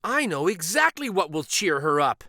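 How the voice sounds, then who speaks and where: A man voicing earnest, excitable young schoolchildren in a picture-book read-aloud, speaking in an eager, expressive way. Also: sits high, moderate pace, no echo, clean studio sound, heavily acted.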